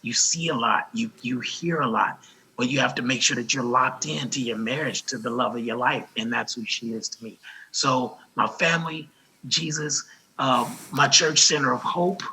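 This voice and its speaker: A raspy-voiced man from Los Angeles, speaking as an interview guest in an earnest, grateful way, often restarting his sentences. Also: mid-range voice, moderate pace, bright, forceful tone, slight background noise, rough recording. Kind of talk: casual interview talk.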